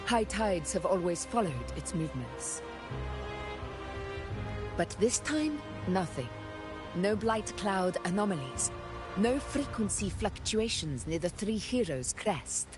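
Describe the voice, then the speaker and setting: An actress playing a stately, battle-hardened commander in a video game, speaking in a formal, solemn and deliberate manner. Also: female voice, fairly low pitch. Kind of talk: dramatic video game dialogue. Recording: game music behind the voice.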